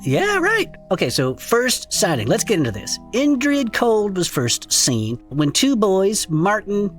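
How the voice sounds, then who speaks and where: A performer playing a folksy, excitable male podcaster in a comedic audio drama, reading strange reports aloud with incredulous asides. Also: mid-range voice, unhurried, soft background music. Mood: amused, incredulous. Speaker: co-host reading case reports.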